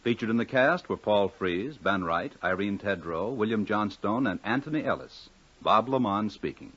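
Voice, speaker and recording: A man's voice: fairly low pitch, lively intonation, normal speed, a bit bright, breathy edge. A man with an American accent announcing in a scripted 1950s network radio style. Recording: muffled old radio broadcast. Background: music.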